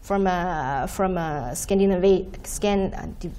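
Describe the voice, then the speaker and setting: A woman whose first language is Chinese, giving a university lecture from a podium and explaining terms slowly with many "okay"s. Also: on the low side, mellow. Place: lecture room, standing at podium by projector screen.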